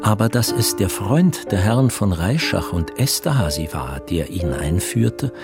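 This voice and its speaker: A man narrating a literary radio drama from a script in formal, measured storytelling sentences. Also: deep voice, big pitch swings. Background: music.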